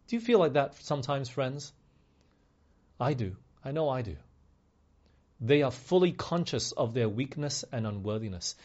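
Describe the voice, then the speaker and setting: Male preacher, slightly husky, earnest chatty sermon delivery, dull lo-fi recording. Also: mid-range voice, sing-song, moderate pace, long pauses, a little mellow. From Malaysia. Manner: earnest, conversational, with asides to the congregation.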